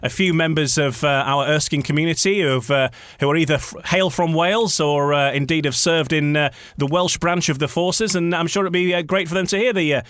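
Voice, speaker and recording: A man's voice: fairly high pitch, brisk, a bit bright, clean tone, no echo. A man hosting a radio interview, hesitant, with many fillers and restarts. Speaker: radio host.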